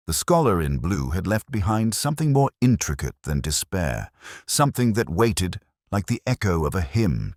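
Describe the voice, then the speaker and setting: A weary, cultured police inspector played by a man narrates a detective drama in an extremely low, husky voice. He is brooding and measured, with literary phrasing. The sound is close and dry. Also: no echo, heavily acted. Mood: melancholy, pensive. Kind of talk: scripted first-person detective drama narration.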